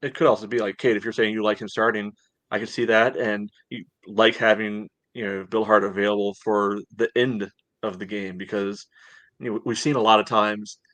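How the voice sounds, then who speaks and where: Casual male soccer podcast co-host, lowish pitch, conversational and hedging, tight dry sound. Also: little pitch movement, normal speed, a bit bright, no echo, poor audio. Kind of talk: casual sports discussion.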